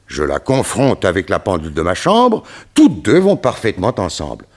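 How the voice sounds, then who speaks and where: A man playing a grave, troubled gentleman in a detective radio play, telling his account in a formal, theatrical way. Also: low-pitched, wildly swooping pitch, moderate pace, exaggerated voice. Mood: puzzled. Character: grave, troubled gentleman recounting a strange mishap.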